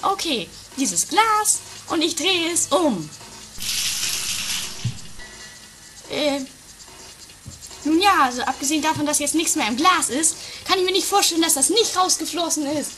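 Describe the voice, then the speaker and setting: A woman's voice: high-pitched, expressive, moderate pace, over music. A woman playing a skeptical, easily exasperated guru's apprentice, hesitant and incredulous, in an improvised comic radio play. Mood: doubtful.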